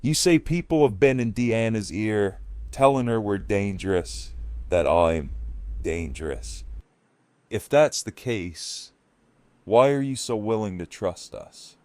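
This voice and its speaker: A grim leader of survivors , acted by a man for an audio drama. His voice sits very low and he talks slowly, weighing his words with wary intensity. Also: animated, a little mellow, smooth, dry recording. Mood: wary, challenging.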